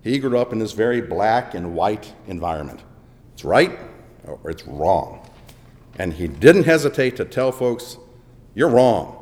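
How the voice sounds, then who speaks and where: A man preaching a sermon with dramatic swings between booming emphasis and hushed asides, in a church. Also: big pitch swings, measured pace. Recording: long echo of a large hall.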